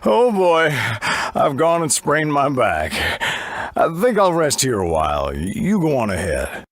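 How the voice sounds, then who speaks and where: Good-natured old game master, bumbling, slow, very swoopy delivery. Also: male voice, medium pitch, wildly swooping pitch, no echo, studio quality, exaggerated voice. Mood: proud, then pained. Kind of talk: video game character acting.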